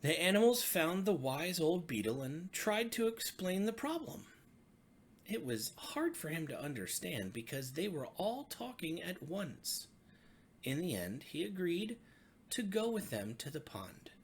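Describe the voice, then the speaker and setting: A woman reading a children's bedtime story with expressive, dramatic, deliberate phrasing. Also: fairly low pitch, a little hiss or hum. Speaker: storybook reader.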